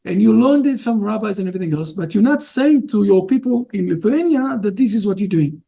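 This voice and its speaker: Gravelly high-pitched man, halting English. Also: animated, warm tone. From Israel. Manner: halting non-native English with frequent fillers. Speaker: rabbi. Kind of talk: interview guest.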